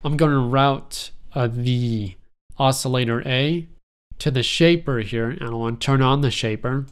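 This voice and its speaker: A young man with a stuffy, congested voice explaining step by step how he builds a synth sound, in a relaxed, rambling way with lots of fillers. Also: mid-range voice, measured pace, clear, resonant tone. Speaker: music production tutorial host. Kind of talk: casual screen-recorded software tutorial.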